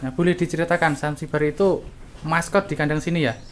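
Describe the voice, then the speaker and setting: A man's voice: fairly high pitch, steady tone, fast. A man hosting a farm-tour vlog, chatting eagerly with the farmer and addressing viewers. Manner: enthusiastic, asks short questions, addresses viewers directly. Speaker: vlog host touring a goat farm. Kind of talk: casual on-site interview. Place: outdoor wooden goat pen on a farm. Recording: noisy outdoor farm with goat bleats.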